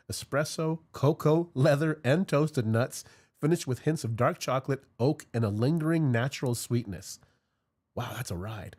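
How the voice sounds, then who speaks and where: A man's voice: medium pitch, a little mellow. Congested male host, chatty and rambling, casual livestream delivery. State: congested from a cold. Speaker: cigar review host.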